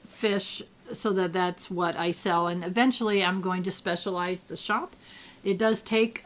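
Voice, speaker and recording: An older retired woman with a gravelly, allergy-roughened voice, chatting casually while she plays a game and thinks aloud. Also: medium pitch. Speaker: gameplay commentator. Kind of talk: casual gameplay commentary.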